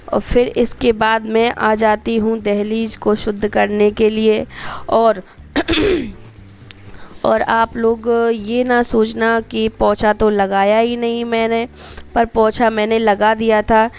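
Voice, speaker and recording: A woman vlogger with a Hindi accent from Madhya Pradesh, hoarse from a cold, narrating her daily routine in a chatty, homely way with rambling asides to viewers. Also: on the high side, fairly even intonation, takes it slowly.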